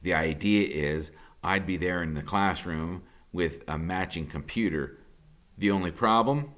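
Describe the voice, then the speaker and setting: A man, a teacher, reading a novel aloud in the first person with an expressive, conversational delivery. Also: sits low, a little slow. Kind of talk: audiobook narration in first person.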